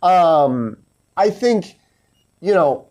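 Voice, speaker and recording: A man from New Jersey co-hosting a podcast, chatting casually in an animated, rambling way with lots of fillers. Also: on the high side, extremely slow. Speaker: podcast co-host. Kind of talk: casual chat.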